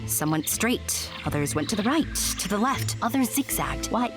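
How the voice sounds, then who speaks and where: A woman's voice: mid-range voice, sing-song, moderate pace, music in the background. A woman narrating a scripted storybook tale with wry, playful asides to the listener.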